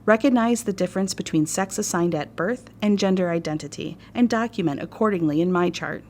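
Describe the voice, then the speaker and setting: An American woman narrates a staff training course. Her voice is a little low, and she reads in a measured, formal, instructive manner. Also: darkish tone, slight background noise.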